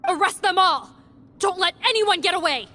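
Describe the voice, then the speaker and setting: A woman's voice: very high voice, normal speed, background noise. A woman voicing a stern, commanding guard captain in a video game, speaking with authority. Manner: authoritative, forceful orders. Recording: game music behind the voice.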